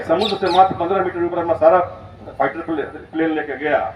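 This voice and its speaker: A man speaking as a school principal, giving an emphatic, step-by-step explanatory lecture in storytelling style. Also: sits high. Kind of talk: motivational school lecture, storytelling about current events. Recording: roomy, distant, muffled, noisy recording.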